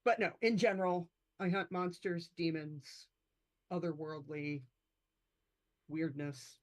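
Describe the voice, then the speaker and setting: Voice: medium pitch, steady tone, slow, lightly acted. A player voicing a breezy, playful female monster hunter in a tabletop game. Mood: casual. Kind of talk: tabletop roleplay in character.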